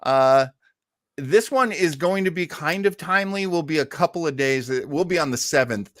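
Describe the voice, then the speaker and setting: A man's voice: on the high side, lively intonation, normal speed, a bit bright, no echo. Male online show host, informal and jokey, loose emphatic phrasing, recorded dry. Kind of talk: casual live show chat with announcements.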